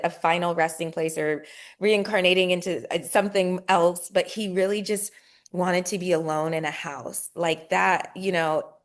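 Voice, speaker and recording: A woman co-hosting a casual book club chat over a video call, speaking reflectively and addressing the audience as "y'all". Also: on the low side. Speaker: co-host.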